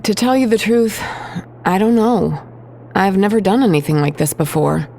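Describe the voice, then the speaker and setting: A woman playing a telepath, speaking quietly and earnestly with steady focus. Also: medium pitch, moderate pace, gravelly, a little hiss or hum, natural voice. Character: woman telepath, guilt-ridden but focused. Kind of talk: fan audio drama acting.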